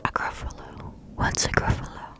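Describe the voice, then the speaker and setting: A woman's voice: moderate pace, lightly acted. A woman whispering the lines of a hungry owl in a storybook. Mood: coaxing. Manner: soft whisper. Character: hungry owl in a treetop house.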